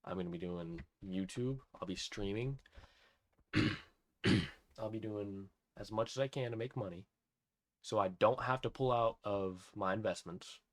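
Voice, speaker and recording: An eighteen-year-old man ranting excitedly in a personal vlog, amazed and repeating himself, often restarting sentences. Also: fairly low pitch, measured pace, pauses often. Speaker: vlogger.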